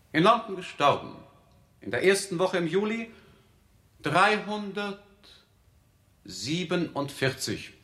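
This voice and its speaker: A man's voice: on the high side, sharp tone, exaggerated voice. An actor playing a pompous, vain newspaper publisher dictating to his clerk in a 1960s German radio play. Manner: pompous, clipped dictating, ironic.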